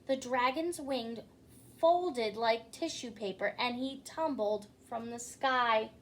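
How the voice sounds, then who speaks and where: A woman's voice: on the high side, slow, a little hiss or hum. A woman hosting storytime, reading a children's book aloud theatrically and speaking directly to young listeners.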